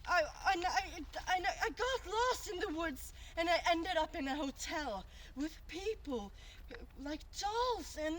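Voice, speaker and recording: A woman's voice: very high voice, animated, a little slow, a little hiss or hum. A woman acting a frightened, pleading character in improvised theatre, with a dramatic, urgent delivery. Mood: panicked, pleading. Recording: echoey room, far mic, music underneath.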